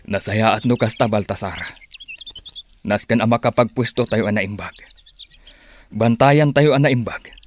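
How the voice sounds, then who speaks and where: Man acting a low, serious war strategist. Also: unhurried, pauses often, slight background noise, close to an everyday voice. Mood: grave, planning. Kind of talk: Ilocano radio drama acting.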